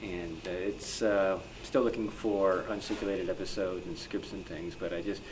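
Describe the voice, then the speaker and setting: A man answering as a panelist at a club meeting, unscripted, halting and doubling back as he talks. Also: deep voice, fairly even intonation. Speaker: panelist, old-time radio researcher. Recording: noisy live meeting room recording.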